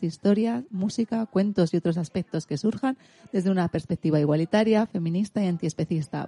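A woman's voice: fairly low pitch, mellow, no room sound. A woman with a Spain Spanish accent, presenting a children's radio show as its host.

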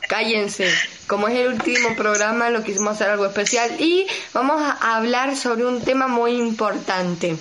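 Young female radio co-host, highish voice, slangy and rambling, slightly noisy recording. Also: normal speed. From Argentina. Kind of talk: casual group chat on a radio show.

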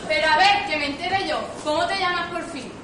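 A woman's voice: very high-pitched, a little fast. A woman in an amateur stage farce playing a brash, suspicious jilted mother, blunt and challenging, in an echoey hall. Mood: indignant. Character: brash, suspicious woman hunting her children's father. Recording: distant echoey hall, noisy.

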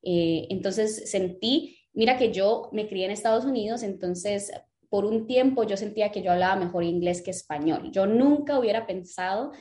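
A woman in her thirties, originally from Guatemala, speaks as an interview guest over what sounds like a call mic. Her voice sits at a middle pitch. She tells her story earnestly and fills her pauses with "eh". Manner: warm faith-filled storytelling with frequent "eh" fillers. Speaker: interview guest, lettering teacher and business owner. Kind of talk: casual interview conversation.

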